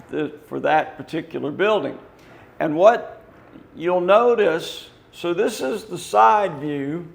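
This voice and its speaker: An older man with a hoarse voice, explaining building plans in a casual, rambling way with many fillers. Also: high-pitched. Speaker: company representative.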